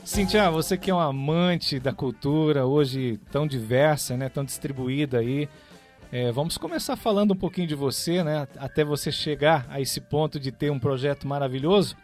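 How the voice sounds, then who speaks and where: Higher-pitched Brazilian male radio host. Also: normal speed, darkish tone, soft background music. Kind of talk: radio interview hosting.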